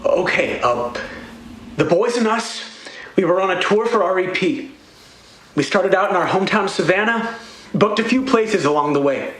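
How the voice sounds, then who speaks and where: An actor playing a distraught young band musician accused of a crime, halting and stammering in a radio play. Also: male voice, high voice, lively intonation, fairly bright tone, roomy sound, slight background noise, low-quality recording. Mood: hesitant, subdued.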